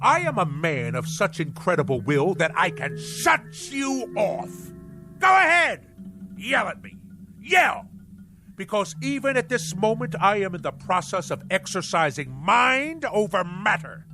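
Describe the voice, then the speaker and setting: An actor in a radio drama playing a pompous, manic husband obsessed with the navy, declaiming in grandiose nautical bombast. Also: male voice, high-pitched, very animated, measured pace, sharp tone, soft background music, exaggerated voice. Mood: blustering, defiant. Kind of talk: theatrical radio drama acting.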